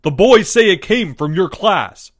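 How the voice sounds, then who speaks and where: A man's voice: high voice, expressive, measured pace, pitched above the performer's own voice. A man voicing an agitated, indignant male schoolteacher in a storybook, snapping and exclaiming in alarm. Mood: wild, agitated. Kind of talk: storybook character voice.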